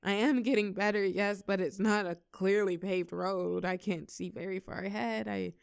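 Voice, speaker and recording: A woman as shy college girl, young, creaky voice, tender and hesitant, intimate audio roleplay, close dry sound, music underneath. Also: medium pitch, steady tone, moderate pace, no echo, natural voice. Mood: vulnerable, hesitant. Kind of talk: intimate scripted audio roleplay, one side of a conversation.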